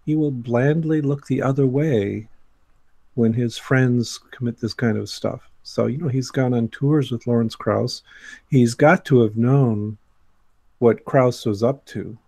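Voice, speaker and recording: A man's voice: mid-range voice, darkish tone, no room sound. A man speaking as a guest in a casual discussion. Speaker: podcast guest.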